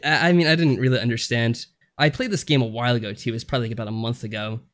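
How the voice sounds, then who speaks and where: A man's voice: mid-range voice, fairly even intonation, very fast, fairly bright tone. A man co-hosting a podcast over a voice call, talking casually in a mild, somewhat hesitant, informal way. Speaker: podcast co-host. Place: remote voice call.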